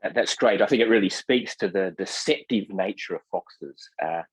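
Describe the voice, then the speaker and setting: A man speaking as a podcast guest in thoughtful, casual discussion, with halting, hesitant phrasing. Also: sits low, breathy edge, no echo, low-quality recording.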